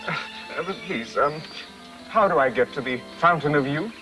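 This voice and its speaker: A pompous yet timid explorer in a TV comedy. His voice is high and bright. Faint music sits behind him. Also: lively intonation, moderate pace, low-quality recording. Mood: nervous. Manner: theatrical, hesitant when nervous. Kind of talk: broad comic TV acting. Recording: music behind, VHS tape source.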